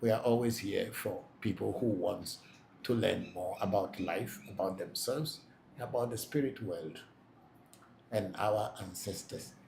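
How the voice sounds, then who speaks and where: Gravelly-voiced man in an echoey room. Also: lowish voice, unhurried, a little mellow. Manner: plain-spoken, repeats himself for emphasis. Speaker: Vodu priest hosting a live Q&A. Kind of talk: live Q&A, reading out and answering questions. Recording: echoey room, faint background noise.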